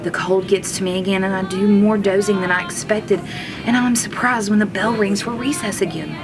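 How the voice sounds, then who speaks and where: A woman's voice: mid-range voice, fairly quick, low-quality recording. Woman reading a storybook aloud, music behind. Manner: expressive, acts out characters' lines. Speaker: kindergarten teacher reading aloud. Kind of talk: children's storybook read-aloud.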